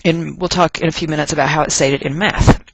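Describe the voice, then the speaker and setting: Casting: American science teacher from Texas, medium pitch, folksy and emphatic lecturer, dry recording. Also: steady tone, fairly bright tone, no room sound, rough recording. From Texas, United States. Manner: emphatic, deliberate stress and repetition of key points; folksy, joking asides. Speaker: chemistry teacher. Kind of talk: lecture narrated over class notes.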